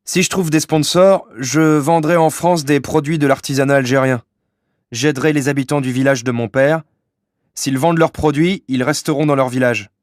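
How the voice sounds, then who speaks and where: A man's voice: on the high side, fairly even intonation, moderate pace, dry recording, clean studio sound, close to an everyday voice. An actor playing an earnest young man with big plans in a scripted lesson dialogue. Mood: earnest, hopeful.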